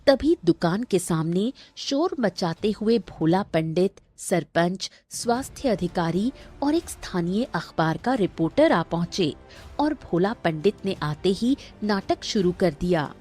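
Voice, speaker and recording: A woman narrating a scripted cartoon story in a dramatic, measured way. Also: fairly low pitch, animated, mellow, husky, faint music behind.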